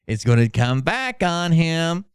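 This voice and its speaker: A man's voice: fairly high pitch, very animated, a little slow, smooth, no echo. Male livestream host, casual and mocking commentary, swears freely. Clean resonant tone, very dry sound. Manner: sarcastic, profane. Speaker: channel host doing mocking dramatic readings.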